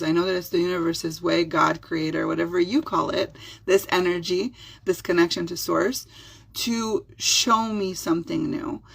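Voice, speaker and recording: A middle-aged woman whose first language is Hebrew, speaking with an Israeli accent and giving a soft, soothing self-help talk as a spiritual healer. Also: fairly low pitch, gravelly, slightly rough.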